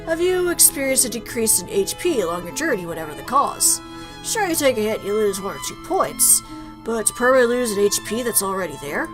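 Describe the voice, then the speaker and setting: A woman's voice: on the high side, sing-song, fairly quick, poor audio. A woman reading visual novel dialogue aloud as a gaming video host. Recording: prominent game music behind voice.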